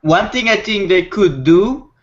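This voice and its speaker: A man's voice: sits high, animated, a little slow. A man talking casually and enthusiastically as a panelist in a group fan discussion. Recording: dull lo-fi recording.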